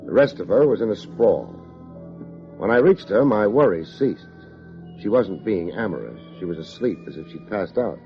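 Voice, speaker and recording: An actor playing a tough, wry American private investigator in a 1950s radio drama, terse, slangy and deadpan. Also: male voice, fairly low pitch, normal speed. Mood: wry, grim. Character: tough, wry male private investigator narrating his case. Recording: dull old radio recording, music behind.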